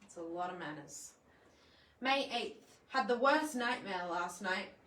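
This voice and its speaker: A woman reading a children's picture book aloud in a playful, expressive way, adding chatty asides for young listeners. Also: mid-range voice, a little slow. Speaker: library storytime presenter. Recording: echoey room, distant microphone.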